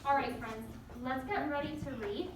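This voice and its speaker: A woman teacher speaks in a fairly high voice, leading her pupils and reading to them with an encouraging manner. The sound is heavily reverberant and a little distant from the mic, with faint noise. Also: expressive, moderate pace, a crowd in the background. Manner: encouraging, addresses pupils as friends. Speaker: elementary school teacher. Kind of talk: leading a class and reading a story aloud.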